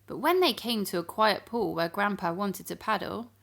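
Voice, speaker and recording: A woman reading a storybook to young children in an animated, expressive manner, addressing her listeners directly. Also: mid-range voice, moderate pace, gravelly.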